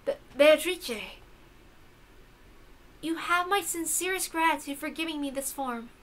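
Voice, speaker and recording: A woman voicing a meek, devoted young lady who speaks softly, formally and haltingly in a visual novel. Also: high-pitched, pitched above the performer's own voice. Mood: grateful. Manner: soft, polite, deferential, halting.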